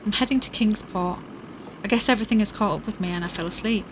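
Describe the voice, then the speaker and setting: A woman's voice: mid-range voice, quick pace, slight background noise, close to an everyday voice, rough recording. A woman playing an anxious, plain-spoken young investigator narrating her journey in an audio drama, casual and hesitant. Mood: uneasy, weary. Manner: casual, hesitant, uneasy asides. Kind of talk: audio drama acting, recorded diary narration.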